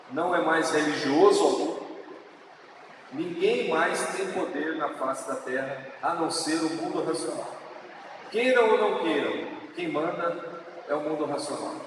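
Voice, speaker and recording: Slow-preaching man with a São Paulo countryside accent. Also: a little high. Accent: São Paulo countryside Brazilian Portuguese. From interior of São Paulo state, Brazil. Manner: earnest, preaching, slow with drawn-out emphatic words. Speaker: speaker at a legislative assembly event. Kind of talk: public speech. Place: large assembly hall. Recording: echoey hall, distant mic, noisy.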